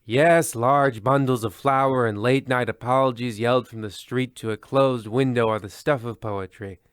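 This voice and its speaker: Man as a smooth, sardonic radio host. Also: medium pitch, steady tone, normal speed, no room sound, studio quality, natural voice. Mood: wry. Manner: droll, mock-grand. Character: wry, sardonic storytelling host. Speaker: narrator and host. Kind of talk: scripted comic radio narration.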